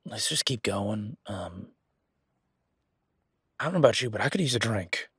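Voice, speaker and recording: A man's voice: on the low side, animated, moderate pace, fairly bright tone, husky, dry recording, studio quality, exaggerated voice. A man playing a polite, flustered werewolf boyfriend in a romantic audio drama, talking casually with nervous stammers. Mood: amazed, relieved. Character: Gavin, flustered, polite, excitable young male werewolf boyfriend.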